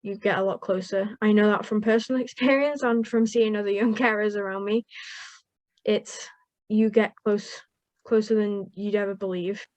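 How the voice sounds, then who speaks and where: Young woman from Wales, Welsh first language, earnest and upbeat, mid-pitched, close dry recording. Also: steady tone, moderate pace, a little mellow, no room sound. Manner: earnest, upbeat, laughs often. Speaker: young carer guest. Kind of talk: interview answers.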